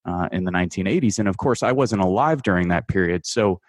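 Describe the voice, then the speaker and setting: Man, 30s, radio guest, very deep voice, halting analytical delivery, dry close sound. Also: animated, dry recording. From United States. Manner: measured, hesitant, many fillers and restarts. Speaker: guest writer. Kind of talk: radio interview, analytical commentary.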